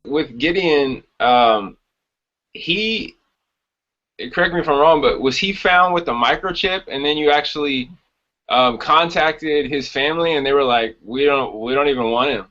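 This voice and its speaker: A man's voice: fairly high pitch, unhurried, bright, forceful tone, lo-fi sound. A man hosting a podcast, asking questions in a casual interview style.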